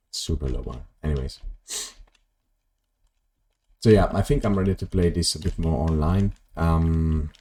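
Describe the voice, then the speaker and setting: This is a young man with a very deep, mellow voice. He rambles casually to his stream audience, stumbling through ums and restarts and joking about his own mess. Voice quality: very low voice. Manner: rambling, self-deprecating, many ums and restarts. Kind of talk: casual live-stream chat while gaming.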